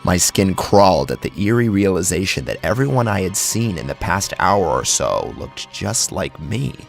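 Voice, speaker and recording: A man's voice: low voice, very animated, moderate pace, creaky. A man narrating a scripted first-person horror story dramatically, close on the microphone. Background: background music.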